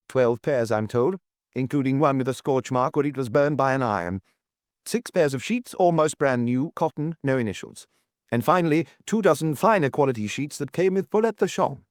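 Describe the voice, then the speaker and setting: A man's voice: medium pitch, darkish tone, dry recording, studio quality, lightly acted. A man voicing a diligent police officer who reports in a methodical, detailed way, in an audiobook.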